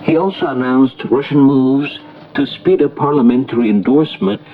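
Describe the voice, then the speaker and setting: A dull, lo-fi shortwave broadcast with faint noise carries a man's voice. He reads an international news bulletin as a radio newsreader. His delivery is formal, scripted and measured. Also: mid-range voice. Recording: noisy, muffled shortwave radio broadcast recording.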